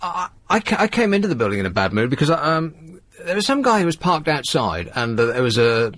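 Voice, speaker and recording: A man's voice: a little high, big pitch swings, a little fast, a bit bright, no room sound. A man hosting a live radio phone-in, chatting and telling stories in a dry, exasperated way. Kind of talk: casual live phone-in chat and anecdote.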